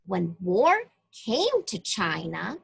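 A woman reading a Bible story aloud to young children, with deliberate, emphatic phrasing. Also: lowish voice, big pitch swings, vocal fry, breathy edge.

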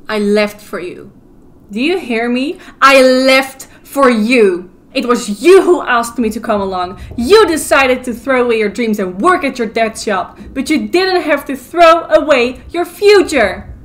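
A woman's voice: high-pitched, lively intonation, unhurried. An actress playing a hurt but determined young woman in an audio drama, confiding and emotional. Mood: hurt, furious. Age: young adult.

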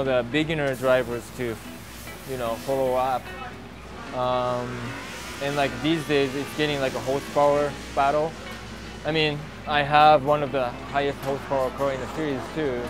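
A man in his thirties, a professional drift driver, giving a relaxed and halting outdoor interview on a lapel mic at a racetrack paddock. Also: medium pitch. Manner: relaxed, halting, many fillers. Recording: noisy outdoor racetrack recording.

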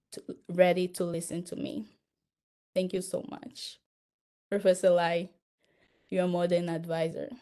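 A young woman whose first language is Portuguese reads out her thank-you speech in English, her voice sitting a little low. She sounds nervous and heartfelt, and she talks into a laptop mic on a video call. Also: dark tone. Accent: Angolan Portuguese. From Angola. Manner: nervous and emotional, reading earnestly. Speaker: student award recipient. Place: indoor room at home, on a video call. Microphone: laptop webcam mic on a video call.

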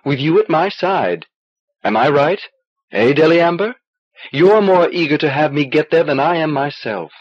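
A man's voice: a little high, expressive, normal speed, breathy edge, dry recording, pitched above the performer's own voice. A man reading an audiobook as a stubborn young male traveler, earnest, insistent and questioning. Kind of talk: audiobook character dialogue. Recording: dull old cassette transfer.

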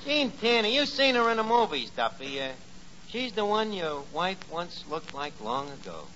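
Cheeky new york tavern manager, old radio comedy, high sharp slangy voice, muffled recording. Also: male voice, moderate pace, heavily acted. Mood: cheeky, breezy. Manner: deadpan wisecracks, slangy. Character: wisecracking, uneducated tavern manager, breezy and cheeky. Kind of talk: 1940s radio comedy monologue on the phone. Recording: dull, faintly noisy 1940s radio recording.

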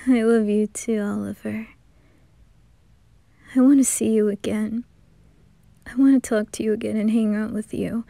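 Woman playing a mellow, tender boy in love. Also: medium pitch, steady tone, a little slow, long pauses, dry recording. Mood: earnest. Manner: gentle, breathy, often near whispering. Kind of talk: amateur romantic ASMR roleplay.

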